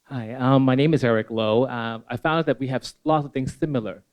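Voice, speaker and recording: A man's voice: mid-range voice, steady tone, a little fast, darkish tone. A man who grew up in Hong Kong, an audience member asking a long, digressive question full of "you know". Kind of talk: Q&A question from the floor.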